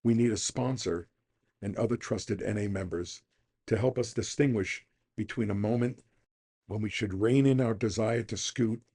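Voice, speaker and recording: A mature man with a fairly low voice reads a scripted daily meditation. He is middle-aged or older and delivers the text as a reader on a recovery programme. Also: dark tone, husky.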